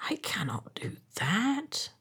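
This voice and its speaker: A woman's voice: medium pitch, animated, slow pace, a little mellow, slightly rough, voice pushed up. A woman voicing a small, kind-hearted male swallow from a storybook, devoted and earnest, with emphatic delivery. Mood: sorrowful. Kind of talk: storybook character voice.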